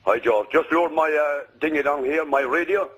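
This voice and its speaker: Rambling Irish caller from Limerick. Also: male voice, a little high, moderate pace, slightly rough, dull audio. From Ireland. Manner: rambling, says the host's name often. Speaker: radio caller. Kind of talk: phone-in call. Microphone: phone.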